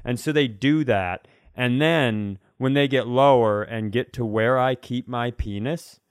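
A man's voice: medium pitch, unhurried, smooth, no room sound. Casual man chatting candidly, close dry sound. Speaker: podcast co-host and comedian. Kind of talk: casual chat, comic storytelling.

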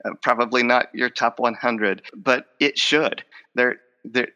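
A man's voice: medium pitch, fairly even intonation, a little slow, bright, forceful tone, clear, resonant tone. A man in his forties, a speech professor who stutters, repeating words and restarting as he answers interview questions. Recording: long hall reverb.

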